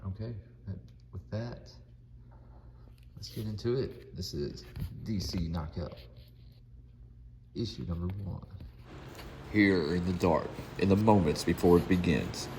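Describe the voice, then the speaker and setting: Deep, gravelly man in an echoey room. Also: unhurried, long pauses, darkish tone. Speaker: comic book channel host. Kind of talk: casual vlog talk and dramatic comic read-aloud narration. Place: indoor room. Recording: echoey reverberant room, audible background noise.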